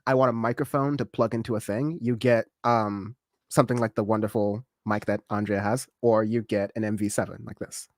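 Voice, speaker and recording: A man with an American accent carrying slight British traces, chatting casually and explaining things in a halting, rambling way. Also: lowish voice, steady tone, dry recording, clean studio sound. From born in California, spent much time in northern England. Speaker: guest on a talk show.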